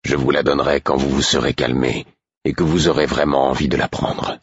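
A stern, weary man, acted by a male audiobook reader, reproves with restraint and patience. The voice is extremely low and husky, in a dull, echoing recording. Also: moderate pace, fairly bright tone, exaggerated voice. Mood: calm, firm. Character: stern, tired man, gruff but patient and protective. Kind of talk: audiobook character acting.

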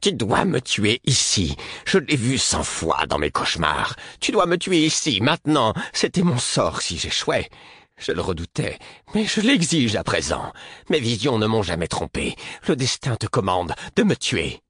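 A man reading an audiobook, voicing a bitter, defeated sorceress with a raspy, shrill voice. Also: sing-song, normal speed, few pauses, pushed and bright, no room sound, heavily acted. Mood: commanding. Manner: shrill, commanding then pleading. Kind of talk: audiobook character voice.

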